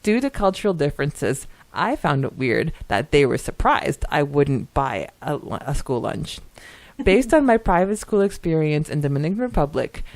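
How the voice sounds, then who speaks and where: A woman's voice: sits low, big pitch swings, moderate pace, a little mellow, no room sound. A woman co-hosting a podcast, giggly and chatty as she talks casually and reads listener comments aloud. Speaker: podcast co-host.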